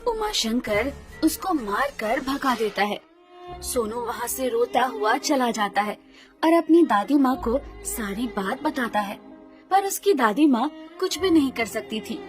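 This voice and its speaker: High-pitched woman narrating a children's story. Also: lively intonation, a little slow. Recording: music under the voice.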